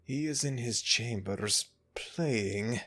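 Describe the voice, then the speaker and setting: A man voicing a dutiful temple servant of a god in an audio roleplay, speaking in a hushed, reporting way. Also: mid-range voice, takes it slowly, noisy background, close to an everyday voice. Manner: hushed reporting, then breathless urgency.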